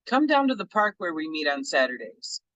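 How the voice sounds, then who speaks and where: A man reading a memoir aloud for a book club, in a relaxed and appreciative way. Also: a little high, fairly quick, dry recording, low-quality recording.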